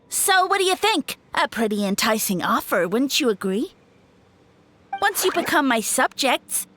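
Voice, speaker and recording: A pompous mushroom spirit holds court, played by a woman. The voice sits high and bright and leaps up and down with showy grandeur, dodging awkward questions in a video game scene. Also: slight background noise. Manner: grandiose, evasive, theatrical. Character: boastful male mushroom spirit, self-styled savior. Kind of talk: game voice acting.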